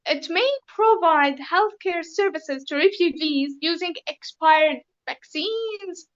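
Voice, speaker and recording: A Syrian woman with an Arabic accent, giving careful, formal interview answers with many pauses and ums. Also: very high-pitched, dull audio. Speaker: interview guest.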